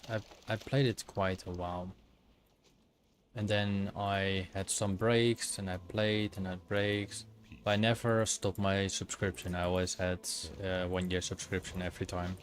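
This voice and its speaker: A Dutch man streaming a game and chatting with viewers, thinking aloud with many fillers and restarts. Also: lowish voice. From Netherlands. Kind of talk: casual livestream commentary while gaming.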